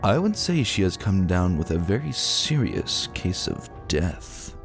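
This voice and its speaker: An arrogant young usurper prince from a fantasy tale, acted by a male reader. He speaks in a commanding, taunting way with animated pitch, music playing behind. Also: measured pace, exaggerated voice. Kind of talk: dramatic fantasy story reading.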